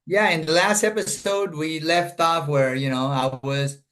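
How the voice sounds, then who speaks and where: A man's voice: on the high side, fairly even intonation, unhurried, over a noisy crowd. A middle-aged man from Colombia, a guest telling his life story in an earnest, rambling way, full of fillers and restarts, over a video call.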